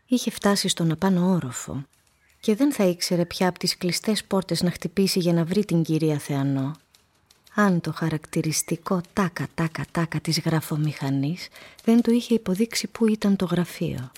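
A woman narrating a radio play as a literary storyteller, measured and descriptive. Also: fairly low pitch, darkish tone, close to an everyday voice. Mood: intent storytelling.

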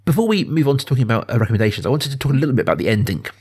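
A man, perhaps in his thirties, talking through a film casually and earnestly, thinking aloud in a halting way as a podcast host. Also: medium pitch, big pitch swings, darkish tone, husky.

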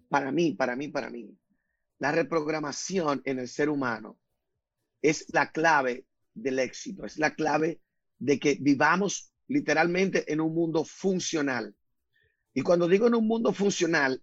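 A man from the Republic, speaking as a guest on a radio talk show, earnestly explaining his ideas and slowing down to stress points. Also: a little high, dry recording, highs cut off. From Dominican Republic.

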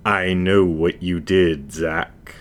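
A man voicing an unseen avenger from the shadows, menacing and taunting in a slow, drawn-out manner. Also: deep voice, steady tone.

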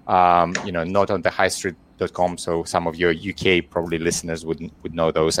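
A middle-aged man from Ukraine with a Ukrainian accent, answering as a guest in a webinar interview over a video call, full of fillers and restarts. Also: low-pitched. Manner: hesitant, many fillers and restarts.

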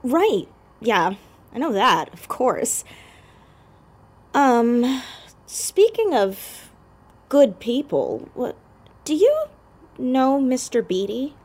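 An actress playing a persistent, inquisitive teenage girl sleuth in an audio drama, earnest and halting as she probes. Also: high-pitched, sing-song, darkish tone, husky, full character voice. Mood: awkward.

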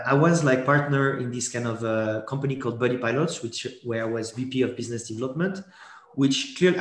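A man's voice: mid-range voice, echoey room. A man with a French accent, a native French speaker, talking as a podcast guest in a loose, rambling way full of restarts. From born in Geneva to French parents. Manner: rambling, many restarts and fillers. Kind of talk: casual podcast interview.

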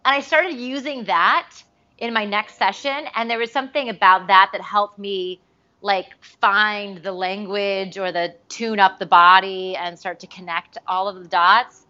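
A woman's voice: mid-range voice, unhurried, highs cut off. A woman, a voice actress, telling stories in a casual interview, chatty and full of "like" fillers. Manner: chatty, many "like" fillers, animated re-enacted quotes.

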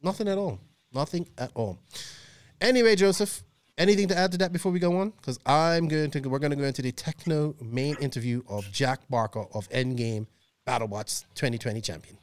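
A man hosts a chat podcast in a loose, rambling way, with plenty of fillers and restarts. His voice is smooth and clear at a middle pitch. The mic is close and dry. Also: fairly quick, no room sound. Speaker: podcast host. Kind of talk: casual chat.

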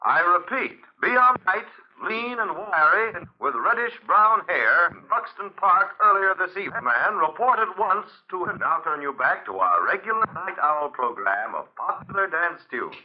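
An actor playing an urgent radio announcer, reading a formal police bulletin in 1940s news style. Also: male voice, high voice, sing-song, moderate pace. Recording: dull 1940s radio recording.

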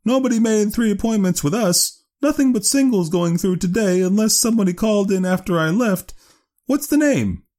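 Man acting out prim female clerk, earnest, agreeable, raised pitch, lilting intonation, audio storybook. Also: expressive, normal speed, a little mellow. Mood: matter-of-fact. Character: prim, earnest, agreeable woman office worker. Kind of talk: dramatised short story reading.

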